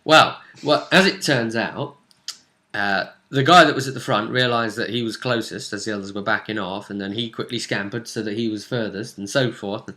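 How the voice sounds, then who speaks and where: Bright-voiced man recapping a tabletop game. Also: fairly low pitch, normal speed. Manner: rambling, acts out characters' lines as he recounts. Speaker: game master. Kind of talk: casual recap of a tabletop role-playing session.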